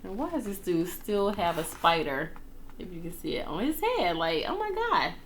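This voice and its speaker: A woman reading a children's story aloud in an expressive, playful, dramatic manner, with casual asides. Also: fairly low pitch, normal speed, slight background noise. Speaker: storytime reader.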